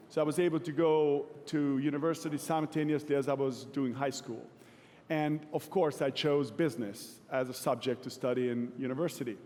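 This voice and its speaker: A man from Hungary in his forties, giving a relaxed, self-deprecating conference talk to a live audience. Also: on the high side. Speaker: keynote speaker. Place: large event hall. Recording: long hall reverb.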